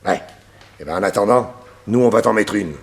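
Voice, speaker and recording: An actor playing a sadistic, vengeful gang boss who sneers with mock politeness in a detective radio serial. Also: male voice, on the low side, brisk, vocal fry. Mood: menacing.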